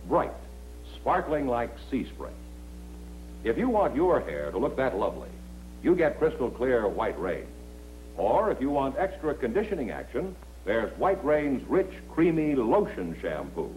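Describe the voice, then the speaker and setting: Polished male announcer, lively pitch. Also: low-quality recording. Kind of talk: radio-TV announcer and sponsor read.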